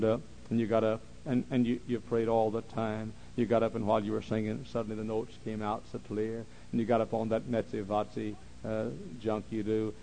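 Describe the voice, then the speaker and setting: Man preaching, hushed to shouting. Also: on the low side, gravelly, a little hiss or hum, low-quality recording. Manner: swings from hushed, slow talk to loud shouting; repeats phrases for emphasis. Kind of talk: sermon.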